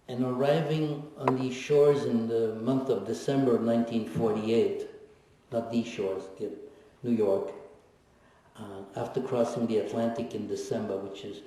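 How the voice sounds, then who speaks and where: A man in his seventies giving a personal testimony at a lecture, reflective and wry, pausing often and teasing his audience. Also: medium pitch, warm tone. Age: 70s. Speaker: guest speaker, Holocaust survivor. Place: lecture hall. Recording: echoey hall recorded from far off.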